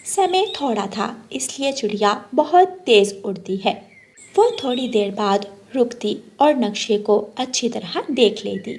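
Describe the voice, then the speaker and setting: A woman's voice: fairly high pitch, lively intonation, a little slow, a little mellow, breathy edge. A woman delivering scripted narration for a children's story.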